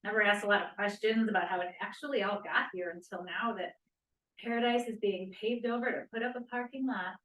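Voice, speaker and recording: A woman's voice: mid-range voice, steady tone, fairly quick, a bit bright, natural voice. A woman acting a wistful, doubting young feminist in a script read-through, musing and earnest. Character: wistful, doubting young feminist who quotes her grandmother. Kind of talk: table read of a play script. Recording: echoey room, distant microphone.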